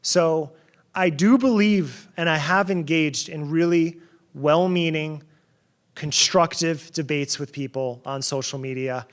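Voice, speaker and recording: Man, middle-aged, from New Jersey, keynote speech, chatty and sarcastic, slightly high voice. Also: measured pace. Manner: conversational, sarcastic asides, frequent fillers and restarts. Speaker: keynote speaker.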